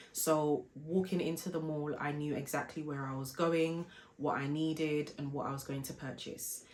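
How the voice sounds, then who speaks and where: A woman, hoarse from a flu, chatting politely as a vlogger telling a shopping story before an unboxing. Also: low-pitched. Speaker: fashion and lifestyle vlogger. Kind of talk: casual unboxing vlog chat.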